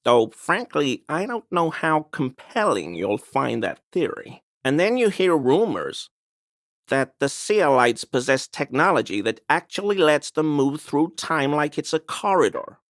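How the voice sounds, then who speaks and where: An actor voicing a fussy, defensive amateur cryptid enthusiast in a video game, with a pedantic, wordy, evasive and grumbling way of talking. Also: male voice, a little high, sing-song, a little slow, dry recording, studio quality. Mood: earnest, intrigued. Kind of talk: video game character dialogue.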